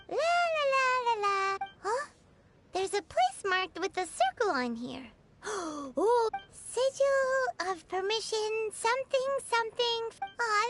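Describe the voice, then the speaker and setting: A woman voicing a tiny, excitable childlike fairy companion in a video game, squeaky and speaking of herself in the third person. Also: exaggerated voice.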